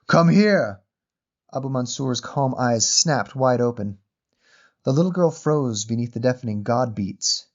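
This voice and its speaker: Measured male story narrator, slightly muffled. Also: mid-range voice. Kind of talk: scripted literary short story narration.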